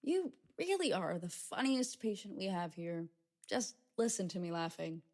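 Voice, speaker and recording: An actress playing a brisk, patronizing female institute staffer in a radio drama, controlling and falsely cheerful. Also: mid-range voice, very animated, darkish tone. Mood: curt, sardonic. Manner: condescending, controlling.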